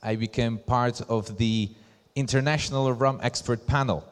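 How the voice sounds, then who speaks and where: A man from Slovakia with a Slovak accent, giving a conference presentation as a brand ambassador in deliberate, emphatic short phrases. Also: mid-range voice, fairly even intonation. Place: large hall at a live event. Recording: echoey hall with long reverb.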